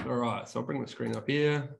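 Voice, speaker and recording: A young man with a New Zealand accent that has picked up Australian tones presents over a webcam from an indoor room. He talks in a casual, chatty way, often restarting his words and laughing at himself. His voice sits at an ordinary, middle pitch. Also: creaky, background noise. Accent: New Zealand, softened by years in Australia. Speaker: conference speaker. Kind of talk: online conference presentation. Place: room with a whiteboard and brick wall, indoors. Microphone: video call webcam.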